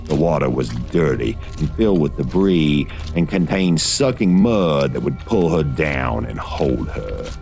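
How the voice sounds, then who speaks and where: A man reading scripted gothic horror fiction as a narrator, in a measured, literary storytelling manner. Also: rumbling low, darkish tone.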